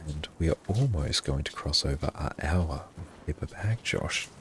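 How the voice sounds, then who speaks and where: A man livestreaming his gameplay in a hushed, half-whispered voice, often thanking his viewers. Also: extremely low pitch, lively intonation, moderate pace, a little hiss or hum.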